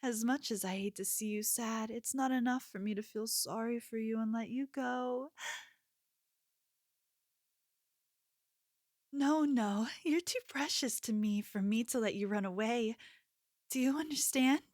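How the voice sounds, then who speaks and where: A woman's voice: on the high side, normal speed, darkish tone, no echo, clean studio sound, lightly acted. A woman playing an obsessive, possessive young yandere in an ASMR roleplay, speaking intimately and coaxingly, like a lover with menace underneath. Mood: soothing, possessive.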